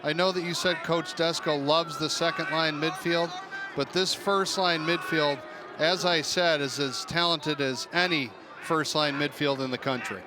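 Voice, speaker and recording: A man with a high-pitched voice gives live color commentary as a sports analyst. He is heard over a very loud crowd and heavy background noise. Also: fairly even intonation, unhurried.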